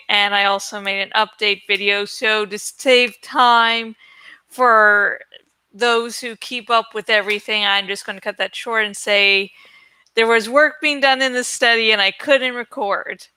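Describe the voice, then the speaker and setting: High, bright woman reading a novel aloud. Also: clear, resonant tone, dry recording. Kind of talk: dramatic audiobook-style reading with casual asides. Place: home study, close dry recording.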